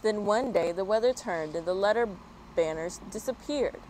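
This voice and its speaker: A woman's voice: mid-range voice, poor audio. Woman reading to children over background noise. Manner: expressive, spells words out slowly. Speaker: story time host. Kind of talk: children's picture book read-aloud.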